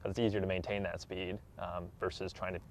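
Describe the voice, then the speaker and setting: A man's voice: low-pitched, little pitch movement, fast, a little hiss or hum. A young American man in his twenties, an athlete giving a casual interview in an earnest, modest way. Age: 20s. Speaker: track athlete being interviewed.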